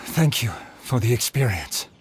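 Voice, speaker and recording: A man's voice: mid-range voice, sing-song, moderate pace, music in the background, lightly acted. An actor voicing a dignified male master swordsmith in a video game dub, grave and formal in speech.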